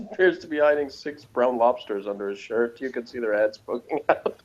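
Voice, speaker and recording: A man's voice: mid-range voice, lively intonation, moderate pace, dull audio. An American man chatting casually and jokingly as he co-hosts a review, in a wry manner. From United States. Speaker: co-host of a TV review show. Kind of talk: casual jokey review chat.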